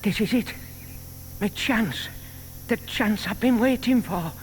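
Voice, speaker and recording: An actor playing a shy, lovelorn older bachelor in an audio drama, earnest and hesitant in manner. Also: male voice, high voice, takes it slowly, a little hiss or hum. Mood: excited. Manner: hesitant, earnest, murmured asides.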